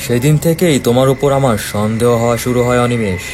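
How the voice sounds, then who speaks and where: A man's voice: mid-range voice, fairly quick, a little mellow, slight background noise, close to an everyday voice. A man voicing a shrewd, resolute investigator in a horror cartoon, explaining things earnestly. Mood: accusing. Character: shrewd, resolute male investigator exposing a culprit.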